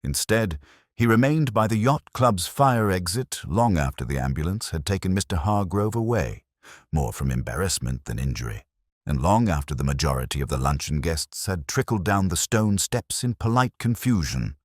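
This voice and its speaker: A man narrating a genteel comic mystery story in a measured, literary and dryly understated manner. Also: low voice, lively intonation, no echo, studio quality.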